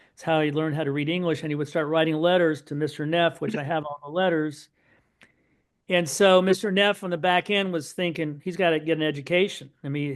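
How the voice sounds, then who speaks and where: A middle-aged man, a guest on an interview podcast, telling his family's story in a lively, anecdotal way full of asides. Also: fairly high pitch. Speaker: podcast guest, son of Holocaust survivors.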